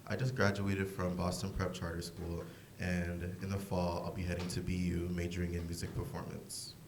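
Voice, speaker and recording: A man's voice: low-pitched, flat delivery, normal speed, roomy sound, very noisy. An eighteen-year-old young man introducing himself on a panel, matter-of-fact. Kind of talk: self-introduction.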